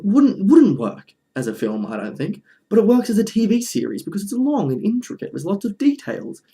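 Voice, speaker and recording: A man's voice: a little high, warm tone. A man presenting casual film commentary in an informal, chatty, slightly wry way. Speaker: presenter of a film review video essay.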